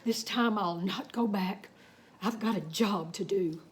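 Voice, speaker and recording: A woman's voice: medium pitch, unhurried, voice pushed down, lightly acted. A woman voicing a polite, long-suffering cowboy in a storybook, with a courteous folksy drawl. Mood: resolute.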